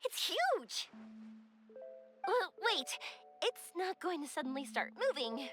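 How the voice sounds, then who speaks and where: A woman voicing a cheerful, kindhearted young woman in a video game, expressive and earnest. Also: very high voice, takes it slowly, full character voice. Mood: excited. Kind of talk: English video game voice acting. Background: game background music.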